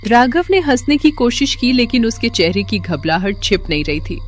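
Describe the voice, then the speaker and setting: A woman's voice: fairly high pitch, big pitch swings, moderate pace, soft background music. A woman giving a dramatic scripted narration of a horror story.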